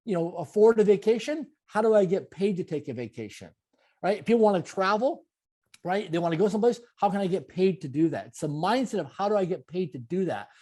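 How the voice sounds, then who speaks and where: A man in his late forties from Canada speaks as an interview guest, his voice high for a man and warm, rounded in tone. He drives his points home with emphatic, repetitive motivational phrasing. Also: animated, brisk. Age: 40s. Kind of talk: conversational interview.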